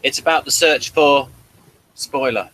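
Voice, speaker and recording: A man's voice: mid-range voice, a little slow, a bit bright, slight background noise, poor audio. A man hosting a casual review discussion in a conversational style. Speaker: podcast host.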